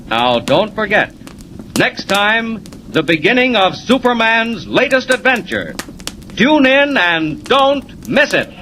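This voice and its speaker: Male serial announcer, 1940s radio, emphatic suspense delivery, lively swings in pitch, vintage noise. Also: takes it slowly. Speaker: announcer and narrator. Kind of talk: dramatic 1940s radio narration.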